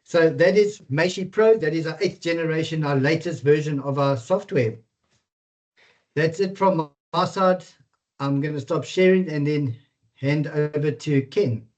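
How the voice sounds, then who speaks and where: A man casually presenting his business software on a webinar, with many ums and uhs. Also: on the high side, measured pace. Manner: casual, filler-heavy. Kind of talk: product presentation. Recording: dull lo-fi video-call audio.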